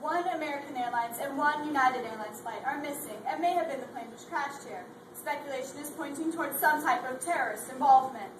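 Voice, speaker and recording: A woman's voice: high-pitched, brisk, hardly any pauses, lightly acted. A young woman playing a formal news anchor. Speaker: readers theatre performer. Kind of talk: news broadcast delivery. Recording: echoey hall, distant, noisy.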